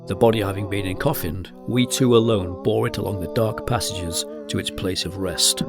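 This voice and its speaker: A man acting a solemn, melancholy gentleman narrator in a literary gothic radio drama. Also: deep voice, steady tone, moderate pace, music in the background. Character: melancholy gentleman narrator recalling his friend's descent into madness.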